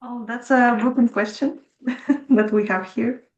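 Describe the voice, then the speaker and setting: A woman's voice: on the high side, steady tone, measured pace, muffled recording. A woman interviewing an author, asking short, friendly questions.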